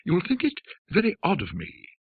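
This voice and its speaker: A man narrating a ghost story plays a polite young gentleman with frayed nerves. The voice is lifted in pitch and slightly husky, hesitant and apologetic, confiding shyly. The sound is dull and muffled. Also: wildly swooping pitch, moderate pace, dry recording, heavily acted. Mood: nervous, confiding. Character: nervous, apologetic young gentleman seeking company.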